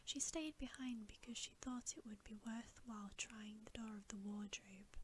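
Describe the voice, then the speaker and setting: A woman reading a bedtime story aloud in a breathy, unvoiced ASMR whisper, gentle and soothing. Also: a little high, normal speed, a little hiss or hum. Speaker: ASMR reader.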